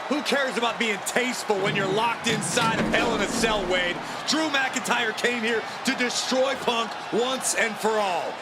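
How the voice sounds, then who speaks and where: A man's voice: extremely high pitch, moderate pace, few pauses, over loud music. A man playing an invested wrestling commentator who reacts in an emphatic, exclamatory manner. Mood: intense. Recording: very noisy arena ambience.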